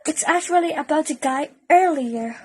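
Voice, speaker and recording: A timid young female patient acted by a woman with a high voice. She is lovelorn and speaks in the style of an amateur radio play. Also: normal speed, darkish tone, lightly acted. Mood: polite, hesitant.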